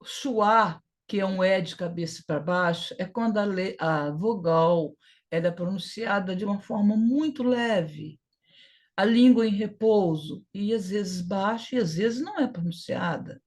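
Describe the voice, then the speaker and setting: A Brazilian woman teaches a language class in an informal, didactic way. She takes her time, with a slow overall pace and a mid-range pitch. Key words get repeated and broken into firmly stressed syllables. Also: darkish tone. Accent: Brazilian Portuguese. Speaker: English teacher.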